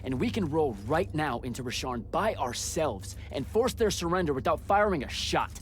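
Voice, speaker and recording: An actor playing a brash, defensive young battlemage in a game cutscene, cocky and sarcastic in manner. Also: male voice, a little high, very animated, normal speed, slight background noise. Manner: cocky, sarcastic, stammering protests. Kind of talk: dramatic video game cutscene acting.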